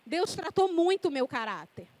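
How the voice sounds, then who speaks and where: A woman's voice: very high-pitched, sing-song, moderate pace, a little mellow, dry recording. A woman in her forties preaching a sermon, reading scripture and then telling personal stories in a conversational way. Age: 40s.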